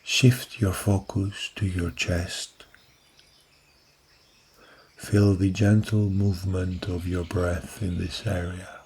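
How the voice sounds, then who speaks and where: A man guides a body-scan meditation in a deep, low voice with a gravelly edge. He speaks extremely slowly and softly, leaving long pauses. Birds chirp clearly in the background throughout. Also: slight background noise. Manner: slow, soft, calm guiding delivery with long pauses. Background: recorded birdsong from woods.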